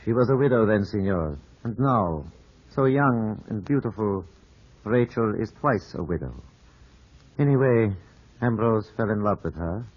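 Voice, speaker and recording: Italian lawyer in old radio play, low voice, polite but guarded, muffled sound. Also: male voice, animated. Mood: courteous, measured. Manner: formal, measured, evasive. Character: smooth-mannered, guarded Italian lawyer, formal and courteous. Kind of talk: 1950s radio drama acting.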